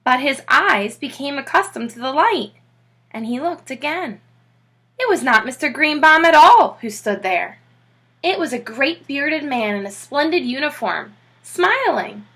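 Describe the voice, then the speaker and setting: Bright, animated woman reading a storybook. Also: sits high, measured pace. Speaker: teacher reading aloud. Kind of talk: expressive children's storybook narration.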